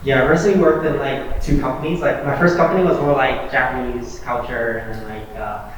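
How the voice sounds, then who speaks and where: A man's voice: mid-range voice, steady tone, brisk. A man in his twenties from Hong Kong chatting in a group discussion, filling his speech with "like.". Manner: chatty, full of like fillers. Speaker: panel guest. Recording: echoey room, distant mic, faint hiss.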